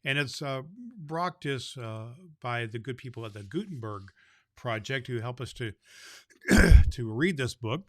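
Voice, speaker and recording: A man playing a jolly, big-hearted Santa Claus who hosts a children's holiday story podcast in a folksy, halting, rambling way. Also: medium pitch, animated, dry recording. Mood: cheerful, folksy.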